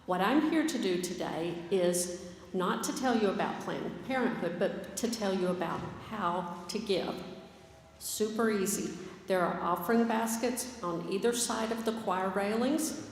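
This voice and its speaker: Woman giving clear offering instructions in hall. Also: medium pitch, measured pace, a little hiss or hum. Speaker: finance and operations director. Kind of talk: church announcement. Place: large church sanctuary. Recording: echoey hall with long reverb.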